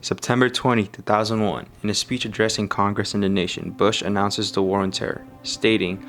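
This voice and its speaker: A man narrating a documentary in a measured, scripted read. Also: lowish voice, steady tone, fairly bright tone, faint music behind. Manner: measured, reading dates and facts.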